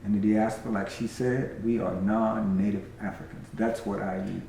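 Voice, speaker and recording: Older man, dark voice, echoey room. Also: fairly low pitch, level tone, moderate pace, gravelly, slight background noise. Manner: emphatic, repeats key phrases. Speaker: community elder. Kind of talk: casual group conversation.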